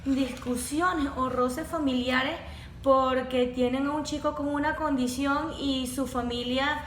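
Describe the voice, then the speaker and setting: A woman's voice: sits high, steady tone, measured pace. A young woman, a course facilitator explaining neurodevelopmental conditions in a professional, clinical way. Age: young adult. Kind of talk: podcast conversation. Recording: echoey room with faint background noise.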